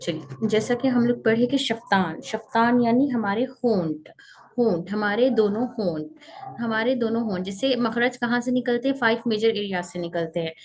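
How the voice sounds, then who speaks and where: A woman teaching Quranic letter pronunciation in an online class, repeating sounds slowly and mixing in English words. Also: a little high.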